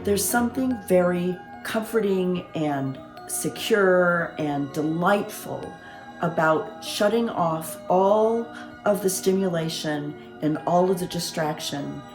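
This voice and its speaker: A woman speaking reflectively in an interview, measured and deliberate, in an echoing room. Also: on the low side, music in the background. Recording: roomy, echoing interview sound.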